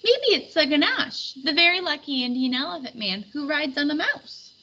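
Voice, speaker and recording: A woman's voice: high-pitched, animated, moderate pace, pushed and bright, muffled recording, a little hiss or hum, pitched above the performer's own voice. A woman voicing a chirpy, friendly neighbor woman in a storybook.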